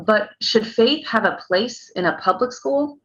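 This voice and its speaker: A woman's voice: on the high side, expressive, measured pace, a bit bright. A woman playing a skeptical news reporter in a screenplay table read, asking pointed questions. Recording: dull lo-fi online audio.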